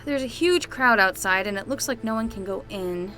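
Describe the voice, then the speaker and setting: A woman playing a young, excitable summer nanny with a casual, rambling way of talking in a fiction podcast. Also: medium pitch, normal speed, soft background music. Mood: puzzled. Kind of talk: scripted fiction podcast acting.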